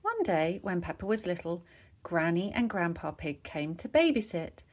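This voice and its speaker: A woman reading a children's story aloud, with expressive narration phrased for young listeners. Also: on the low side, normal speed. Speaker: storybook reader.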